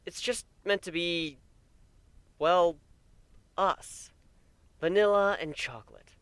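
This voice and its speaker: A man voicing a bashful, gentle male pony husband with a sheepish, hesitant manner in a storybook reading. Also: sits high, slow pace, smooth, no room sound, voice pushed up. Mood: sheepish, tender.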